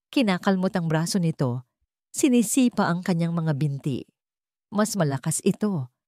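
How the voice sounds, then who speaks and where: A woman with a Filipino accent narrating a dramatic story in a measured, suspenseful storytelling manner. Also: fairly low pitch, a little mellow, dry recording, clean studio sound. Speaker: audiobook narrator.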